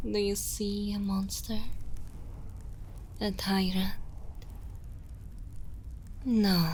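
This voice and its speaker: An evil queen acted by a woman speaks with extremely slow, deliberate control in a soft, breathy near-whisper. She is motherly yet possessive and seductive, choosing formal words in an intimate ASMR roleplay. Her mid-pitched voice sits over faint background noise. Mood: commanding, possessive.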